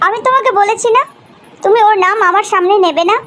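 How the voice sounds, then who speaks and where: Casting: woman as self-centred, easily offended young woman, cartoon drama, very high pitch. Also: fast, fairly bright tone, a little hiss or hum. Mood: indignant.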